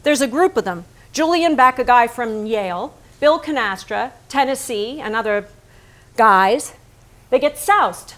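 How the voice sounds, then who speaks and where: Bitter wife for a staged play, female actor, higher-pitched, sardonic, biting, possessive. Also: expressive, a little slow, fairly bright tone. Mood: bitter, wry. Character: Lee, embittered painter's wife, sharp-tongued, possessive, exasperated. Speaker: stage actress. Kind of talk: theatrical staged reading, narration mixed with dialogue.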